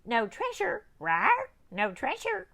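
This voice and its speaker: A woman's voice: very high-pitched, wildly swooping pitch, takes it slowly, creaky, fairly bright tone, voice pushed up, exaggerated voice. A woman voicing a squawking pirate parrot that chants its phrases over and over.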